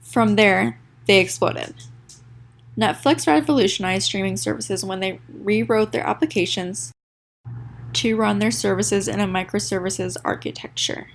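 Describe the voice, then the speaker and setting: A young woman presents a school project in a congested, nasal voice, with a gravelly fry running under her words. She reads from a prepared script, tripping over a word here and there and starting again. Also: mid-range voice. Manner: reads a prepared script with small stumbles and restarts. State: sick, congested. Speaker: student presenter. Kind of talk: reading a class presentation.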